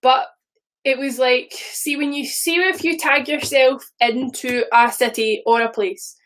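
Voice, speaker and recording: A woman's voice: sits high, steady tone, unhurried, a bit bright, rough recording. A woman in her thirties with a Scottish accent, chatting casually and rambling on a live stream, swearing now and then. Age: 30s.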